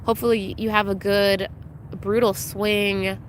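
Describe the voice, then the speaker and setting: A woman's voice: mid-range voice, flat delivery, unhurried, slight background noise. A young adult woman streaming live, chatting casually and greeting viewers by name, her voice slightly congested, with frequent ums. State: congested, recovering from illness.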